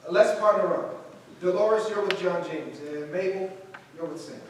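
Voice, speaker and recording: A man plays an encouraging, composed director of a 1950s Harlem theater in a staged drama. His voice is high for a man, heard from far off in a very echoey space. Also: measured pace. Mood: calm, encouraging. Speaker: stage actor. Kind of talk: staged play reading. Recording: roomy far-off mic, faint noise.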